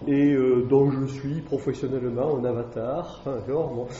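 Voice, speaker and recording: Classics teacher, male, halting and thoughtful delivery, faint room hiss behind. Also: medium pitch, fairly even intonation, mellow. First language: French. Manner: hesitant, many fillers and tag questions. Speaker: classics teacher giving a talk. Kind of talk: public lecture to an evening audience. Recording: echoey dull room recording.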